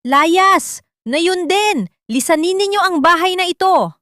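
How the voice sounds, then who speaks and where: A woman's voice: very high-pitched, unhurried, a bit bright, no room sound, clean studio sound, voice pushed up. A woman voicing a cruel, contemptuous older rich matriarch in a scornful, commanding manner for an audio drama. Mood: furious.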